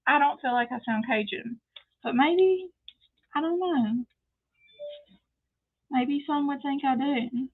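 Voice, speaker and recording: A woman's voice: high voice, slow pace, pauses often. A woman with a North Louisiana Southern accent, chatting casually as she demonstrates a painting craft. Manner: chatty, explains as she paints, frequent fillers. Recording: tinny phone-like sound.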